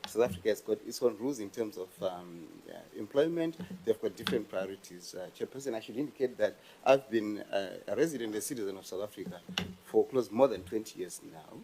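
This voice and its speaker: A middle-aged man, answering a committee as a company finance officer in halting, formal speech with many restarts. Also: lowish voice, lively intonation, dark tone, a little hiss or hum, poor audio. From Zimbabwe. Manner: hesitant, restarting and stammering, formal. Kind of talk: testimony before a committee.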